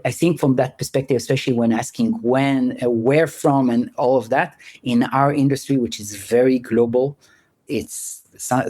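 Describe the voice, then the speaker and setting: A man in his thirties being interviewed, born in France and raised in Israel. He speaks bluntly but haltingly, repeating words and laughing at himself. His voice sits at an ordinary middle pitch. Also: mellow. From born in France, grew up in Israel. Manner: direct, halting with many restarts, laughs at himself. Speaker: guest. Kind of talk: conversational interview answers.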